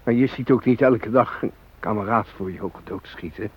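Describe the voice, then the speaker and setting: An actor in a radio drama playing an ordinary captive family man, shaken but protesting in halting, plain-spoken phrases. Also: fairly low pitch, breathy edge. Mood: bewildered, indignant. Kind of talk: radio drama acting, naturalistic. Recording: dull lo-fi radio drama recording.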